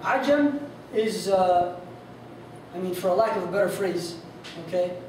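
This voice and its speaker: A man's voice: high-pitched, a little slow. Young man teaching in an echoey hall. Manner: casual, rambling, frequent fillers. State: losing his voice. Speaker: instructor on Quran recitation. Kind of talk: informal lesson with sung demonstrations. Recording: echoey hall from far off, faint noise.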